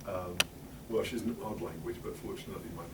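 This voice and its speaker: A man's voice: sits low, steady tone, quick pace, very noisy. A middle-aged English man giving a chatty, wry talk in a library, full of fillers and asides. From England. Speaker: presenter. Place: public library meeting room. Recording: roomy hall, speaker far from mic.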